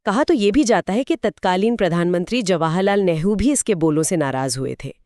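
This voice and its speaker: A woman's voice: lowish voice, expressive, brisk, a little mellow, no room sound. Articulate woman, dry close sound. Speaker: podcast co-host. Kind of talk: conversational film commentary, explanatory.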